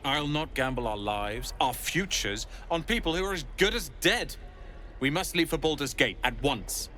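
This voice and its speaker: Cynical sellsword, curt, bright and lively. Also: male voice, on the high side, normal speed, slight background noise. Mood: dismissive. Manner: curt, dismissive. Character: cynical, self-serving male adventurer.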